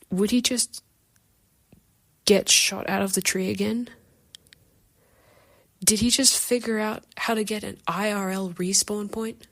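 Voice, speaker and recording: A woman giving casual opinion commentary in a listener's voice submission. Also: mid-range voice.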